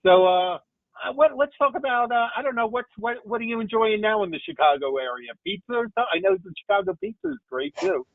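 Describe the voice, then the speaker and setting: Guy hosting, high bouncy voice with some gravel, sounds tinny like over the phone. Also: expressive, a little fast, dry recording. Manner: friendly, chatty questions. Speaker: interview host. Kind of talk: casual interview over video call. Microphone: video call. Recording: tinny dry phone-like call audio.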